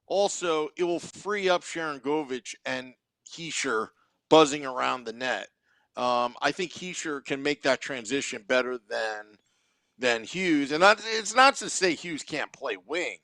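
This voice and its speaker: Bright, forceful male sports podcast host. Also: fairly high pitch, no room sound. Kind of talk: live hockey game-day show talk.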